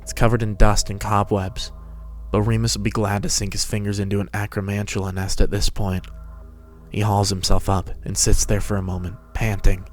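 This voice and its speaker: A man's voice: lowish voice, little pitch movement, normal speed, faint music behind. A man narrating a fan-fiction story as a scripted dramatic reading.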